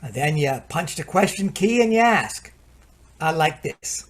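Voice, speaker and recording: A man's voice: fairly high pitch, lively intonation, unhurried, noisy background. An actor playing a folksy, patient gadget salesman in a radio play, chatty and colloquial, hemming and hawing. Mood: confident explaining.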